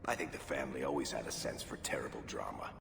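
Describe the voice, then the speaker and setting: An actor playing a roguish, easygoing dwarf with a wry, conversational manner in a fantasy video game. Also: male voice, a bass voice, quick pace, gravelly, heavily acted. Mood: amused. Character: wisecracking male dwarf storyteller, roguish and easygoing. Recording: echoey cavernous reverb, noisy ambience behind.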